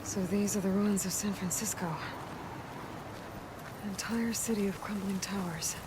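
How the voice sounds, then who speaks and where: A woman's voice: mid-range voice, steady tone, normal speed, background noise, close to an everyday voice. A woman playing a determined young warrior explorer in a video game cutscene, speaking plainly and purposefully over music. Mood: musing. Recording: loud game music underneath.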